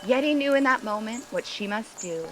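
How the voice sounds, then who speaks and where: A woman tells a children's story with dramatic delivery. Her voice is a little high and very animated in pitch, aimed at young listeners, with music playing underneath. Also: moderate pace, noisy background. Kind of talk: expressive children's story reading. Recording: music behind the voice.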